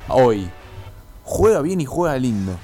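A man's voice: medium pitch, sing-song, a little slow, dark tone, faint music behind. A man with a Rioplatense Argentine accent in a casual radio discussion as a panelist.

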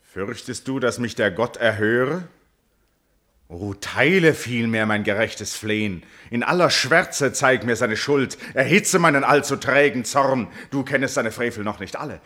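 An actor playing a wrathful, wounded royal father in a classical verse radio tragedy, declaiming solemnly and forcefully. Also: medium pitch, measured pace. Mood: indignant.

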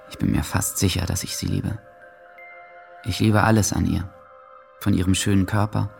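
Radio drama: troubled teenage diarist, deep and gravelly, introspective delivery. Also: male voice, heavily acted. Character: lovesick, suicidal teenage boy reading his diary. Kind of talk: radio drama monologue, intimate diary reading.

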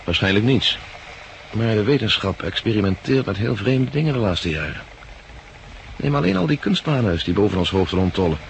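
An actor in a 1960s radio drama playing a shrewd, brooding farm worker who speaks gravely and deliberately. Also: male voice, lowish voice, animated, poor audio. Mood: wary, resigned. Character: brooding male plant-farm worker, shrewd, grimly warning. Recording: old radio recording, faint noise.

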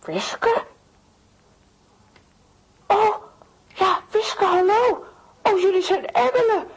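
A woman's voice: very high-pitched, expressive, very slow, exaggerated voice. A woman playing a doting, grateful aunt in a children's radio play. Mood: joyful, relieved.

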